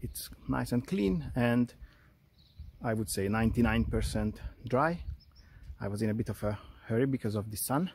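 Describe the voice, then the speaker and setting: A man's voice: medium pitch, lively intonation, normal speed, vocal fry, darkish tone, breathy edge, dry recording. A young man casually narrating a car wash on an outdoor driveway, plain and matter-of-fact, counting out amounts. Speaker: car-care vlogger.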